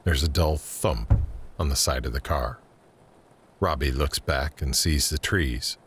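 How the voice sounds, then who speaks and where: Very deep-voiced male drama narrator. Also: unhurried, fairly bright tone, a little hiss or hum. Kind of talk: scripted audio drama narration, screenplay-style.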